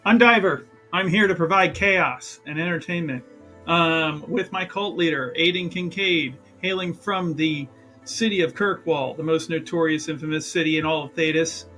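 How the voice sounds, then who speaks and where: Male game player, bright forceful voice, fairly high pitch, theatrical build-up, stumbling repetitions, music behind. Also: normal speed. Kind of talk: casual character introduction.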